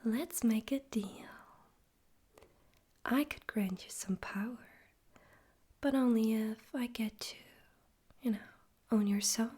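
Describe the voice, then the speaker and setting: A woman voicing a suave, amused, menacing male demon overlord in a breathy whispered ASMR roleplay, slow and condescending. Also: fairly high pitch, mellow. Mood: teasing, condescending. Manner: slow, condescending, teasing, deliberate.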